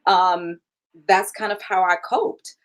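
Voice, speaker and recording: A woman's voice: fairly low pitch, fairly even intonation, takes it slowly. Candid woman guest who laughs easily. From Germany. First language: German. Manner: candid, laughs often, many fillers. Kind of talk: casual interview.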